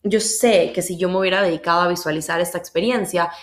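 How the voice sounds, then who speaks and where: Woman, Ecuadorian accent, slightly low voice, casual podcast storytelling, emphatic, roomy echoey sound. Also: fast talker. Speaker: podcast host.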